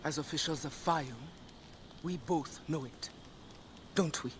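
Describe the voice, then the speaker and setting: An actress playing a stern, principled ancient Egyptian official who urges others to act, in a dramatic game cutscene. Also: female voice, low voice, unhurried, slight background noise. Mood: stern, challenging.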